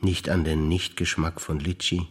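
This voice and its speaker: Older man, very low voice, rhythmic incantatory listing, deliberate pauses. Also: flat delivery. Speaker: stage actor. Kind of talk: staged theatre recitation.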